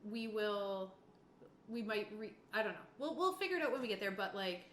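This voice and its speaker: A woman's voice: mid-range voice, expressive, a little fast. A woman running a tabletop game as game master, casually explaining rules and narrating in an informal way. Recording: echoey room with faint background noise.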